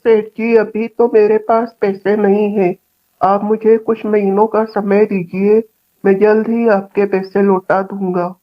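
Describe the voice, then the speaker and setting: A woman's voice: medium pitch, little pitch movement, a little slow, dull audio, natural voice. A woman voicing a poor, honest male farmer in a cartoon village story, speaking earnestly. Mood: pleading. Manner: earnest, pleading. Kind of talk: Hindi cartoon story acting.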